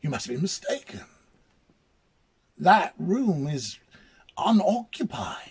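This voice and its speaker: A man acts out a dismissive male hotel clerk in a dramatic tale. The voice sits higher, with a firm, formal and exasperated manner. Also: big pitch swings, slow, vocal fry, slightly rough, dry recording, heavily acted. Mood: firm denial.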